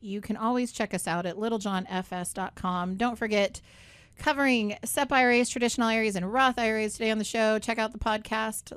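A woman's voice: mid-range voice, clean tone, no room sound. A woman hosting a radio show in a studio, talking in a chatty, upbeat way with many asides. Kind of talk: casual talk radio chat.

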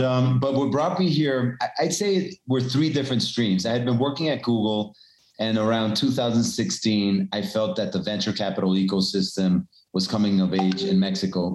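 A man from Colombia giving measured, businesslike answers as an interview guest. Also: on the low side, a little mellow. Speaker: guest, cannabis investor. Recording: roomy echo.

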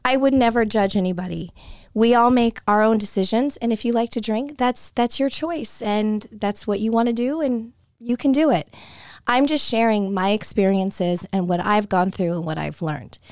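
Hoarse woman hosting a podcast. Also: medium pitch, moderate pace, a little mellow, no room sound, clean studio sound. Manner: chatty and conversational, with frequent restarts. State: hoarse, voice coming and going. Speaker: podcast host. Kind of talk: casual solo podcast talk.

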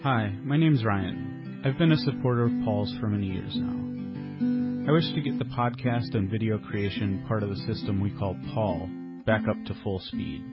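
A man's voice: fairly low pitch, normal speed. A man reading a scripted supporter appeal as a podcast introduction, with guitar playing behind him. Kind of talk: scripted announcement. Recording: slightly muffled voice over guitar music. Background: plucked guitar music.

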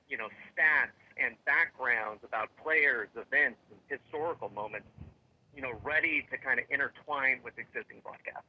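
Male interview guest, rambling delivery full of fillers, lo-fi audio. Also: medium pitch. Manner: rambling, many fillers and restarts. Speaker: tech company guest. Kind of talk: interview answers.

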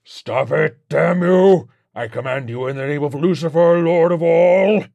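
A man voicing a boastful, sadistic demon in an audiobook, with a booming, taunting delivery. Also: gravelly, darkish tone, no echo. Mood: enraged shrieking. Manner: slow, taunting, drawn-out delivery.

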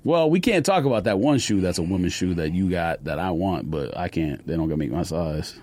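A man's voice: sits low, animated, brisk, a little mellow. A man with an American accent co-hosting a sneaker podcast, chatting casually with slangy, teasing banter about shoes and sports.

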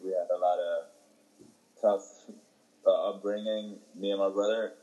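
Low, flat-voiced young man. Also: muffled recording. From Ethiopia. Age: young adult. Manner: halting, many fillers and restarts. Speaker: interviewee, young soccer player. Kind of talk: casual interview answers.

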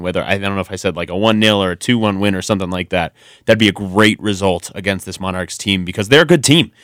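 A man's voice: fairly low pitch, a bit bright, smooth, no room sound. A man co-hosting a sports podcast in a radio studio, chatting casually and rambling through lots of ums and restarts. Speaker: podcast co-host.

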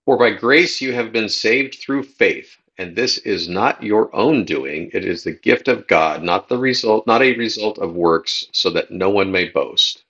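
An American man hosting a religious podcast in a casual, halting way, often repeating words as he goes. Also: on the low side, fairly bright tone, husky, lo-fi sound. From United States. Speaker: podcast host.

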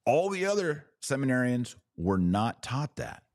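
A man delivering a religious teaching monologue as a podcast host, speaking in a deliberate, didactic way with emphatic pauses between phrases. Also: mid-range voice, sing-song, darkish tone, no room sound, clean studio sound.

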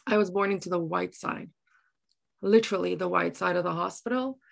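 A middle-aged woman from South Africa with an Afrikaans accent, telling personal stories reflectively in an earnest way, often halting to search for words. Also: fairly low pitch, fairly even intonation, creaky, slight background noise. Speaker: interview guest, writer. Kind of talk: reflective personal storytelling in conversation.

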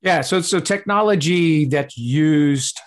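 A man hosting a podcast interview over a video call, speaking in a relaxed, hesitant way and often restarting his phrases. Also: a little high, takes it slowly. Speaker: podcast host.

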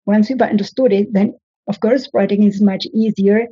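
A woman, a writing coach giving measured, instructive advice in an interview. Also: medium pitch, fairly even intonation, dark tone, breathy edge, dry recording, muffled recording. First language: French. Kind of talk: interview answers, advising.

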